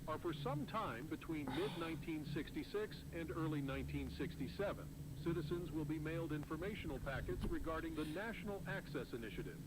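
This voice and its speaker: A man playing a formal 1960s news announcer, reading a bulletin in a measured manner. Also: fairly high pitch, lightly acted. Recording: very noisy vintage broadcast sound.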